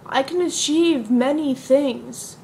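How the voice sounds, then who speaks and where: Woman acting a despairing, confessional young woman, fairly high. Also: slow pace, creaky, darkish tone, full character voice. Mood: resigned, anguished. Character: depressed, despairing young woman confiding bleakly. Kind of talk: amateur dramatic stage monologue. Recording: noisy iPod recording.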